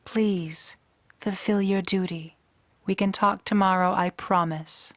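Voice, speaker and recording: A woman acting a stern, weary, duty-bound pony princess in a radio drama, speaking formally and gravely. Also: mid-range voice, steady tone, slow pace, no echo. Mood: firm, dismissive.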